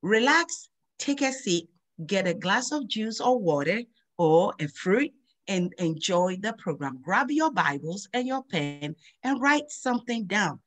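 A woman's voice: medium pitch, big pitch swings. Woman hosting a kids' Bible class. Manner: warm, sing-song teacher voice, slows down to drill verses. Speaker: host of a children's Bible program. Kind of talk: children's Sunday school lesson over video call. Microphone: video call.

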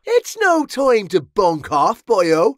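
An actor playing a rough, cocky, bloodthirsty mercenary in a medieval video game, loud, exclamatory and irreverent. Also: male voice, high voice, huge pitch swings, slow pace, dry recording, clean studio sound, full character voice. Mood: rousing, gruff. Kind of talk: video game battle voice lines.

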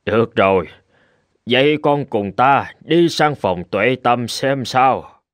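An actor playing a calm, wise old abbot in a cartoon folk tale, speaking in a measured, teacherly manner. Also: male voice, mid-range voice, no echo. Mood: solemn. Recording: music under the voice.

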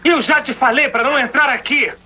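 High-pitched, troubled teenage boy. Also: fairly even intonation, a little fast, heavy background noise. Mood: irritated. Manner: defensive, emotional. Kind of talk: dubbed cartoon acting. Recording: old cartoon soundtrack with music.

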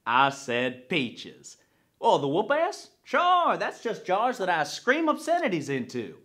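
A man's voice: sits high, huge pitch swings, takes it slowly, a bit bright, exaggerated voice. A man playing a genial farmer at a market, giving folksy, drawling sales patter for comedy. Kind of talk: character comedy monologue.

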